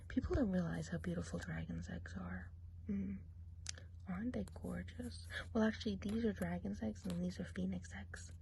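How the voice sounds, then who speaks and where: A woman's voice: on the low side, slight background noise, low-quality recording. A woman playing a gossipy whispering witch. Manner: soft breathy whispering; chatty, rambling gossip with fillers. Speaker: eye examiner. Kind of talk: ASMR role play.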